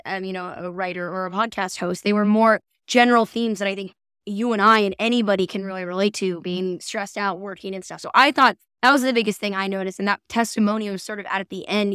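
A woman co-hosts a podcast in a casual, conversational way. Her voice is clear and smooth, at a middling pitch. She sounds agreeable and thinks aloud as she goes, recorded dry and close. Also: fairly bright tone, no echo. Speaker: podcast co-host. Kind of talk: casual chat reviewing podcast ads.

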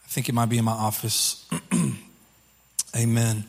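Slightly hoarse male pastor, informal preaching. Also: medium pitch, completely flat delivery. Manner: informal, halting, repeats phrases. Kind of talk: sermon to a congregation. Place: church service.

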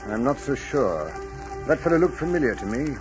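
An actor playing a possessive, imperious gentleman suitor in a 1940s radio drama, agitated and clipped in his questioning. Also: medium pitch, very animated, normal speed, natural voice. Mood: wary. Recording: dull 1940s radio recording, music behind.

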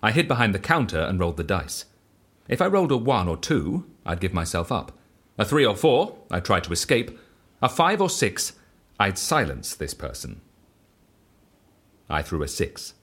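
A man on the run who has handed his life to chance. His voice sits low and swings widely with excitable zeal. He recounts outrageous deeds as plain fact, in audio drama style. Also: moderate pace, husky, full character voice. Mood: earnest confession. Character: reckless, exhilarated male dice devotee on the run.

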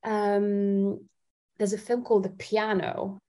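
A woman's voice: medium pitch, flat delivery, slow, warm tone. A woman from Italy chatting casually and a little giggly as an interview guest, feeling her way through her phrases. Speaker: interview guest, singer-songwriter.

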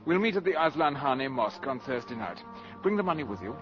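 An actor playing a suave, shrewd valet who is secretly a spy, coolly sardonic and formally polite, in 1950s radio drama style. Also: male voice, mid-range voice, sing-song, brisk, loud music behind the voice, lightly acted. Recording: dull 1950s radio broadcast.

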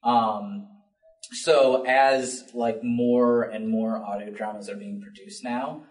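A man speaking as a panelist in an unscripted discussion, halting often as he searches for words. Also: mid-range voice, fairly even intonation, a little mellow. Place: panel at a public library, indoors. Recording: roomy, distant library event recording.

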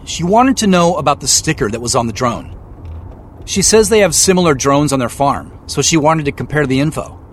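A man acting as an anxious amateur sleuth in an audio drama. His mid-pitched voice moves around a lot, conversational and a little hesitant, earnest and stubborn. A faint vehicle hum sits behind him. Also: animated, fairly quick, close to an everyday voice. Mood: earnest, explaining.

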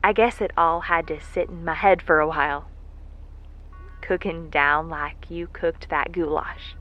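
Muffled, slow-talking, earnest woman. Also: low voice, fairly even intonation. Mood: reflective, earnest. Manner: folksy, halting, reflective. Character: earnest, vulnerable working woman recording a heartfelt message. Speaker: actor in an audio drama. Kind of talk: intimate monologue in an audio drama. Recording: muffled lo-fi audio with music behind. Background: music.

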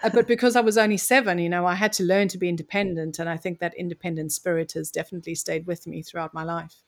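Woman from mozambique, thoughtful guest, lower voice. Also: steady tone. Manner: articulate, reflective, measured storytelling. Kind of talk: conversational interview.

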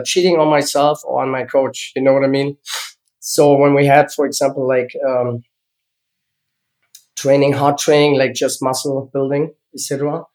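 A man's voice: mid-range voice, darkish tone, rough recording. German-accented man in his fifties. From East Germany. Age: 50s. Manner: halting, searching for English words, frequent fillers. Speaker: guest, former gymnast. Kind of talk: interview storytelling.

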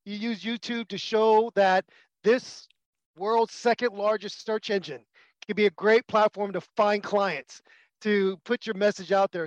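High-voiced man, muffled dry sound. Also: no echo. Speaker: podcast co-host. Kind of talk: casual interview chat.

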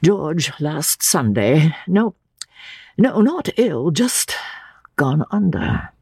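A performer voicing a frail, gentle old man who speaks haltingly and in anguish, as in a dramatic audiobook. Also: mid-range voice, big pitch swings, husky, no echo, voice pushed up, full character voice. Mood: wistful, distracted. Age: older. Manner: halting, drawn-out, anguished delivery. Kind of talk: dramatic audiobook character reading.

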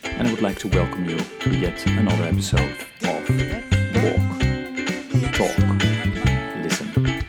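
Measured male podcast host over guitar music. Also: sits low. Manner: measured, brief affirmations. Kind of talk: podcast interview hosting. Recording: music playing behind voice.

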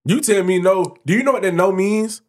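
A man's voice: high voice, a little fast. A man co-hosting a podcast, chatting casually and explaining his view at length, often restarting his sentences. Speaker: podcast co-host.

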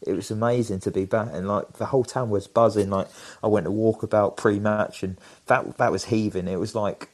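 A chatty man talks eagerly about football as a podcast co-host. His voice sits fairly low, and he rambles with plenty of fillers. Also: fairly even intonation, a little fast, hardly any pauses. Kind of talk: casual football chat.

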